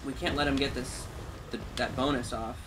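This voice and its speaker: Male gamer, medium pitch, informal walkthrough commentary over audible game sound. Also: steady tone, moderate pace, very noisy. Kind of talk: casual gameplay commentary. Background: fantasy game combat sounds.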